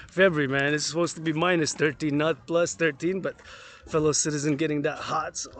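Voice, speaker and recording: A man's voice: on the high side, fairly even intonation, moderate pace, fairly bright tone. A man vlogging casually on an outdoor walk, rambling and circling back to repeat his phrases in a chant-like way. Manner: repetitive, chant-like rephrasing. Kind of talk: rambling outdoor walking vlog, casual chat. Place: outdoors in a park, walking among trees. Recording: noisy outdoor recording with clicks.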